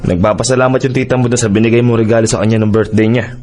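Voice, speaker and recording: A man playing a gentle, middle-aged Filipino father in a Tagalog radio drama, reassuring and fatherly. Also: medium pitch, steady tone, very fast, soft background music, lightly acted.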